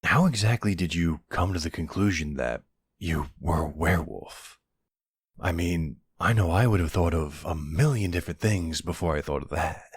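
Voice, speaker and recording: Casting: male actor as supportive boyfriend for intimate roleplay audio; low, very husky voice, halting and gently questioning. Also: no echo. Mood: surprised, curious. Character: supportive, puzzled boyfriend of a werewolf, adult man.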